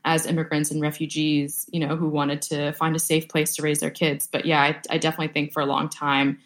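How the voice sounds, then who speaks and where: A woman's voice: low-pitched, level tone, a little fast. A young woman from the Boston area, a food brand co-founder, talking as a guest in a casual interview, upbeat and chatty with lots of "like". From Boston area, USA. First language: Vietnamese. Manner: upbeat, chatty, many "like" fillers.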